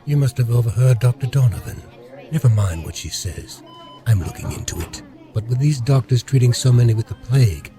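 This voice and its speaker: An actor playing a brusque, evasive space station security chief in an audio drama, businesslike and clipped. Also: male voice, on the low side, lightly acted.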